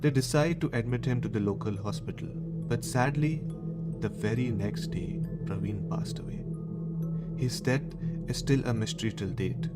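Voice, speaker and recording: A man's voice: medium pitch, moderate pace. A man with an Indian accent hosting a horror radio show, telling stories in a dramatic narrating style. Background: music.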